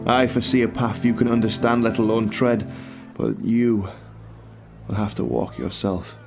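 A man's voice: lowish voice, moderate pace, creaky. A man playing a mysterious hooded sage in a fantasy audio drama, with a formal, portentous manner. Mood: grave, cryptic. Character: mysterious hooded human sage, cryptic and knowing. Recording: music under the voice.

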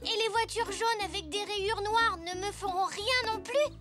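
A woman's voice: very high-pitched, normal speed, over loud music. A woman voicing a curious, eager young zebra boy in a cartoon, full of questions. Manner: wondering, questioning. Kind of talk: French cartoon dubbing.

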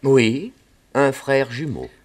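A man playing a polite, cautious reverend in a French radio play, speaking gently and piously. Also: medium pitch, expressive, slow. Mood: courteous. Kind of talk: old French radio drama acting.

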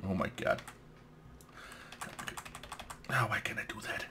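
A young man with a Danish accent, a native Danish speaker, giving casual let's play commentary, excitable, swearing and laughing at the game as he plays. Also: on the low side, slow pace, husky. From Denmark.

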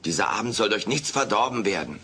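Casting: wisecracking alien houseguest, bright forceful voice, theatrical and cheeky, sitcom audio drama. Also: male voice, on the low side, lively intonation, fast, a little hiss or hum. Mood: jovial, showy. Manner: theatrical, cheeky, mock-grand delivery. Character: wisecracking, meddling furry alien houseguest, male. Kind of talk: dubbed sitcom audio play acting.

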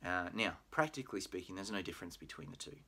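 A middle-aged Australian man with a very low voice talks prospective students through a university program. He explains things in a friendly, patient way, dropping in plenty of "uh"s. He is recorded on a webcam in a small room at home. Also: expressive, fast talker, sharp tone. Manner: friendly, explanatory, with frequent "uh" fillers. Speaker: pre-law program manager. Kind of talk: recorded admissions presentation. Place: home room with bookshelf, indoors.

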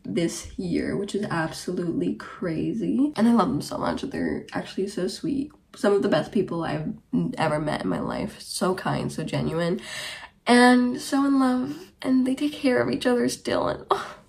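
A woman's voice: fairly low pitch, animated, normal speed, creaky, a little mellow. A woman around twenty from Germany, hosting her podcast in casual, rambling chat to camera in a small room. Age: about 20. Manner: rambling, chatty, tangent-prone. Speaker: podcast host. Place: small bedroom, indoors.

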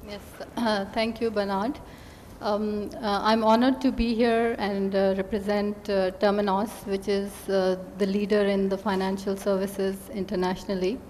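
Woman, middle-aged, panelist, measured, filler pauses, stand mic, long hall reverb. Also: medium pitch, level tone, a little mellow, slight background noise. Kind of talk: conference panel answer. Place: large hotel conference hall. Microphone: table stand mic.

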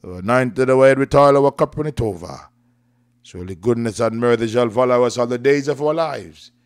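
A man's voice: medium pitch, moderate pace, dry recording, studio quality. A man preaching in fervent Pentecostal prayer, declaiming in rhythmic, repeated phrases and reciting scripture.

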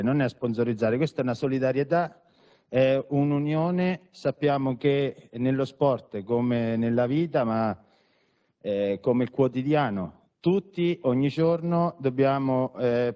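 Man, mellow warm voice, earnest, hesitant, handheld mic in a conference hall. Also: medium pitch. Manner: earnest, with frequent hesitation sounds. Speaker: entrepreneur and sponsor. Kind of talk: short prepared remarks at a press conference. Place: large conference hall with an audience. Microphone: handheld microphone.

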